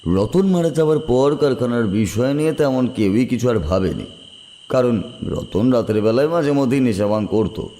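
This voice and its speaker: A man playing a rural local who recounts dark tales in a colloquial, deliberate way in a horror audio drama. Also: mid-range voice, expressive, close to an everyday voice. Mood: grave recounting.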